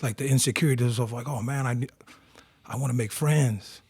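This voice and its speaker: Interview guest: husky man in his forties from Tonga, animated storyteller, dry close mic. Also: mid-range voice, a little fast, no room sound. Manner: animated storyteller, acts out quotes.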